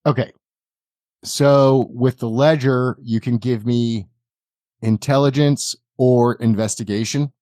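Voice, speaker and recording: A man improvising narration as a tabletop game master in a halting, deliberate, measured manner. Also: mid-range voice, fairly even intonation, dark tone, no room sound.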